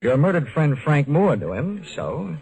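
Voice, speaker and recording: An American man playing a cool, flirtatious hardboiled investigator with wry, deadpan delivery in a 1950s radio drama. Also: on the high side, unhurried, rough recording. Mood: flirtatious banter. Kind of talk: 1950s radio detective drama acting, first-person narration.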